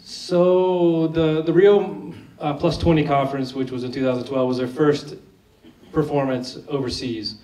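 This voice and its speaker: A film director, a man, answering audience questions after a screening. He sounds a bit hoarse and talks conversationally, often restarting his sentences. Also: a little high, steady tone, a little slow, warm tone, slight background noise. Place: large screening hall. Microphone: lost microphone, speaking unamplified. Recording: echoey hall, voice from far off.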